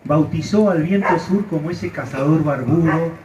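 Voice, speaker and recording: A man's voice: a little high, normal speed, distant mic, noisy background. A man from Santa Cruz, Argentina, with an Argentine accent, giving an earnest commemorative speech at a memorial ceremony, with frequent "eh" and "este" fillers. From Argentina, Santa Cruz province. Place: outdoor street ceremony.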